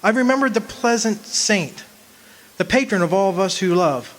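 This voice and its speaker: A man's voice: sits high, lively intonation, normal speed. A man acting in a radio drama as an earnest, homesick country man, with a wistful, folksy way of talking. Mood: wistful, solemn. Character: homesick young man of twenty-five, lovesick, earnest, remembering. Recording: noisy live amateur stage recording.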